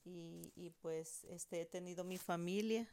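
A middle-aged woman from El Salvador, telling her migration story to her daughter with many pauses and repeated words. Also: lowish voice, fairly even intonation, a little mellow. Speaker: interviewee, mother. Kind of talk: personal storytelling interview.

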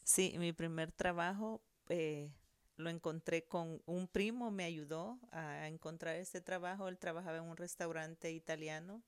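Woman, middle-aged, lower register, smooth clear voice, dry close sound, hesitant storyteller. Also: fairly even intonation, unhurried, dry recording. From El Salvador. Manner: thoughtful, with many hesitations and repeated words. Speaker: interviewee, mother. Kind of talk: personal storytelling interview.

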